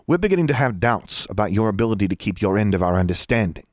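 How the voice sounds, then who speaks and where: A man voicing an indignant middle-aged government official who turns smug and then shouts in a fury. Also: on the low side, sing-song, quick pace, no echo, clean studio sound. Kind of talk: audiobook character voice.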